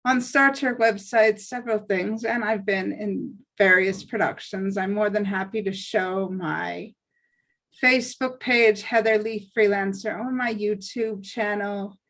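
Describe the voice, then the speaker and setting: A woman speaks at a medium pitch. She presents herself as a performer in an earnest and assertive manner, in a casual style. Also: fairly even intonation, measured pace, fairly bright tone. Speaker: actress and aspiring voice actor introducing herself. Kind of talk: casual self-promotion in an online group.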